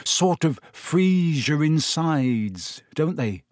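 A man's voice: fairly high pitch, animated, very slow, no room sound, clean studio sound. A man voicing a cocky, contemptuous teenage schoolboy in an audiobook story.

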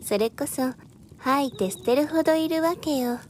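A woman acting as a worldly, self-assured adviser in an audio drama, speaking in a deliberate, persuasive, feminine way. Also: high-pitched, a little hiss or hum, close to an everyday voice.

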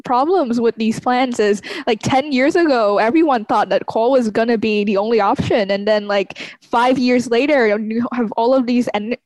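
A woman from Vietnam talks through her ideas in a relaxed interview. She thinks aloud, dotting her speech with "um." Her voice sits fairly high and sounds dry and close. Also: dry recording. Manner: thoughtful, many "um" pauses. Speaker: guest researcher, co-host. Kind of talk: casual interview talk.

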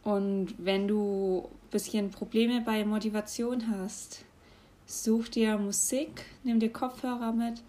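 A young woman, giving casual advice in a chatty way, often laughing and pausing with fillers. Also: medium pitch, warm tone. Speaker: vlogger.

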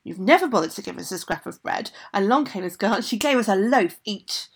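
A woman's voice: medium pitch, lively intonation, brisk, husky. A woman voicing aggrieved enchanted things that reproach their mistress in a storytale. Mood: indignant, reproachful. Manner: indignant, reproachful. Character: aggrieved enchanted household things and servants refusing orders.

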